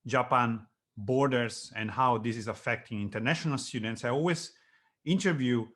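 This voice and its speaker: Male interview host, English speaker, friendly, halting, lots of uh. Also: medium pitch, fairly bright tone. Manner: friendly, hesitant with frequent uh fillers, non-native phrasing. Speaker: YouTube channel host, interviewer. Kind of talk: video call interview.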